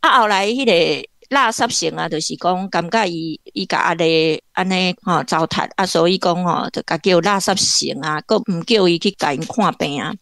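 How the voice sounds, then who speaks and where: A woman's voice: on the low side, moderate pace, vocal fry, hardly any pauses, fairly bright tone, dry recording, clean studio sound. A woman with a Taiwanese accent giving conversational literary commentary in an explanatory way, like a guest academic.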